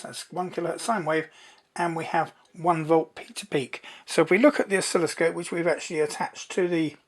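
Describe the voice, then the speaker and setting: Medium-pitched voice, informal electronics demonstrator, unhurried, explanatory, hands-on delivery.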